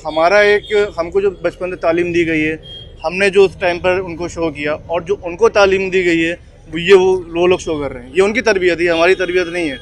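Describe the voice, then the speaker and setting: A man's voice: sits high, fairly even intonation, fairly quick. A man giving his opinion firmly and insistently in a street interview. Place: outdoors on a city street. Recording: outdoor street with traffic behind.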